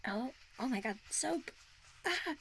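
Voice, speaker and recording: Girly playful woman streamer, breathy n kinda high, drifts into whispers, dry close-up mic, just chatting w viewers. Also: no echo. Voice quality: soft, breathy, often dropping to a whisper. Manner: playful, giggly, cutesy. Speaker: ASMR live streamer hosting in a virtual world. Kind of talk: casual livestream chat with viewers. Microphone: close, sensitive streaming microphone.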